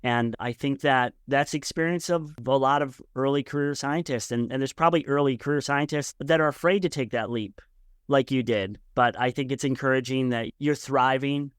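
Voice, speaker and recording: Male podcast interviewer, mid-pitched and crisp, thoughtful conversational style, very dry sound. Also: normal speed, no room sound. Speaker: podcast host.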